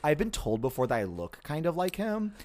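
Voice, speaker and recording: Casual podcast co-host, man, thirties, swooping lively pitch, storyteller who acts out quotes. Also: brisk. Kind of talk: casual chat.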